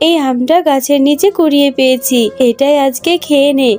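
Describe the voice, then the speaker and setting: Woman voicing a high-pitched, tender jackal mother. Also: fairly even intonation, brisk, darkish tone, close to an everyday voice. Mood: pleading, apologetic. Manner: tender, pleading, earnest. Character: poor, gentle, devout jackal mother. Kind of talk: children's cartoon voice acting. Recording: music under the voice.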